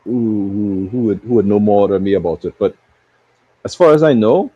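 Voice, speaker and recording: A man's voice: lowish voice, expressive, normal speed. A man co-hosting a live football stream in a casual chat, laid-back and thinking aloud. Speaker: co-host of a live football watch-along stream. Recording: dull lo-fi stream audio.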